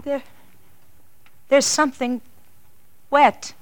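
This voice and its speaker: Slow, halting frightened wife. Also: female voice, high voice, flat delivery. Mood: dread. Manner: hesitant, halting, repeating words. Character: frightened, fragile young wife. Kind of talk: 1940s radio drama acting. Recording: noisy 1940s radio recording.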